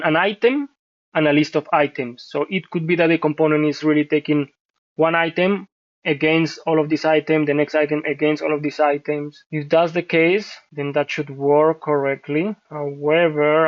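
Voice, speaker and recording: A man from Colombia whose first language is Spanish, hosting a software tutorial and talking through a screen-share at a measured pace with frequent fillers. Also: on the high side, no echo, highs cut off. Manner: thinking aloud, measured, many fillers. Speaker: host reviewing software scripts.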